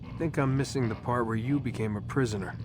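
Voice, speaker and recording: An actor playing a blunt, skeptical young captive in a video game, talking casually and questioningly, with noise behind him. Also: male voice, medium pitch, fast, natural voice. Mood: probing.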